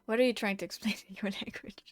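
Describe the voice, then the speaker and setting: A woman's voice: medium pitch, big pitch swings, fairly quick, breathy edge, dry recording, clean studio sound, soft background music. A woman streaming while she paints, chatting casually with her viewers and often half-whispering to herself as she works. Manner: chatty, reacting to chat with laughs. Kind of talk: casual chat while drawing.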